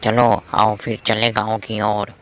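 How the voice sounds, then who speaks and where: A man's voice: lowish voice, completely flat delivery, measured pace, a bit bright, no room sound. A man voicing an ambitious, cocky young lion who talks in a colloquial, boastful way in a cartoon fable. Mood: bargaining. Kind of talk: Hindi animated moral story dialogue. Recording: faint background noise.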